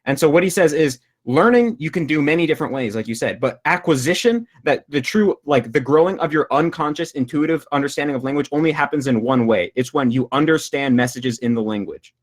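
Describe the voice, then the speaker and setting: A man's voice: a little high, lively intonation, fairly quick, hardly any pauses. A young man who makes videos about learning languages talks over a video call, explaining at length with many fillers and restarts. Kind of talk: casual discussion.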